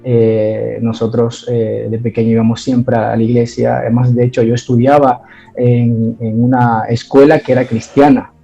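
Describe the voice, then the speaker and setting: A man recounts his faith testimony in an interview, hesitating often and restarting his sentences. His voice is gravelly and mellow. The recording is dull and lo-fi, with faint music behind him. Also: medium pitch, flat delivery, normal speed. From Ecuador. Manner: hesitant, many fillers and restarts. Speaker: guest giving testimony.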